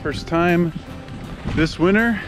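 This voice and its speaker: A man's voice: high voice, takes it slowly, over music. A young man chatting casually as he films himself ice fishing and skiing, narrating as he goes, in an upbeat, chatty way. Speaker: outfitter vlogger. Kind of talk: casual outdoor vlog. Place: ice fishing shelter, then outdoors on a snowy trail. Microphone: action camera held close or body-mounted.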